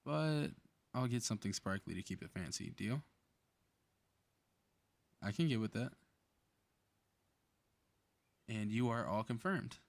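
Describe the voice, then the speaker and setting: A man's voice: lowish voice, expressive, normal speed, creaky, pauses often. A man playing a cocky, playful superhero in a flirty ASMR roleplay audio drama, with casual teasing banter.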